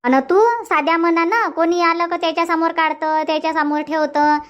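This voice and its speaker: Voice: extremely high pitch, steady tone, normal speed, fairly bright tone, dull audio. A cartoon performer voicing an opinionated village auntie, emphatic, repetitive and scolding. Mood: scolding, knowing. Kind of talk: Marathi cartoon comedy dubbing.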